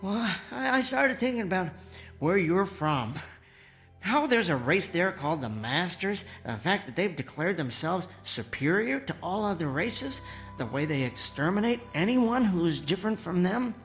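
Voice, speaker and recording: An actor playing a mild, eccentric inventor and loyal friend in a television drama, speaking earnestly and politely. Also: male voice, high voice, sing-song, normal speed, a bit bright, full character voice. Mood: quietly satisfied. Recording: music under the voice.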